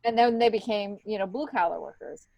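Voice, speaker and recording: A woman's voice: medium pitch, fairly quick, creaky, a little mellow, no echo, muffled recording. Chatty middle-aged American woman, close dry sound. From upstate New York, United States. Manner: chatty, laughs readily. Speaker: podcast guest, stand-up comedian and writer. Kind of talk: casual interview chat.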